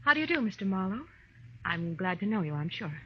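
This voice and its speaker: An actress playing a polite, guarded society wife in a 1940s radio drama. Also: female voice, medium pitch, big pitch swings, fairly quick, breathy edge. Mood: gracious. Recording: dull 1940s radio recording.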